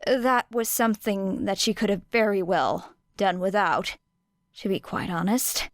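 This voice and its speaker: Unhurried woman narrating fiction, dry sound. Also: medium pitch, no echo. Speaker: audiobook narrator. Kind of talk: expressive scripted fiction narration.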